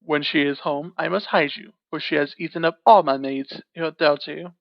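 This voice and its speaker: Storybook giant, menacing and bossy, low, slow and loud; voiced by a woman narrator. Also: steady tone, gravelly, no echo. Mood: gruff. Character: huge gruff male giant, hungry and bossy. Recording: dull, dry lo-fi recording.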